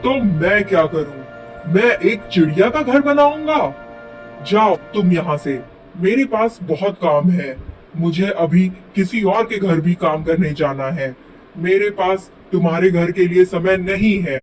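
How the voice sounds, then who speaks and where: Man playing a grumpy repairman, high-pitched and slow. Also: expressive, faint music behind. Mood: dismissive. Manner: gruff, brusque, dismissive. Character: grumpy, dismissive busy repairman in a fable.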